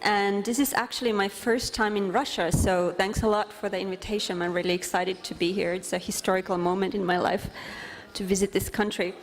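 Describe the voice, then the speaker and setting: Woman, conference speaker, earnest, halting with fillers, mic in a hall. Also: mid-range voice, steady tone. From Finland. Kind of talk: presentation talk.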